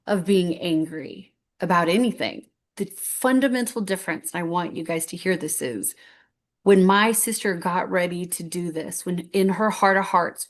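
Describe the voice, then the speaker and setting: Woman, 50s, lowish voice, earnest storyteller who rambles and restarts; guest sharing her journey. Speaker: guest speaker. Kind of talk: heartfelt personal story on stage.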